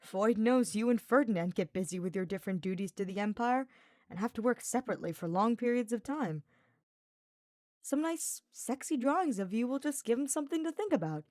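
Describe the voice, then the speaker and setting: Woman voicing a breezy, cheeky young guy, raised pitch. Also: lively intonation, fairly quick, darkish tone, no room sound, studio quality, close to an everyday voice. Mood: teasing, calm. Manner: casual, placating, teasing.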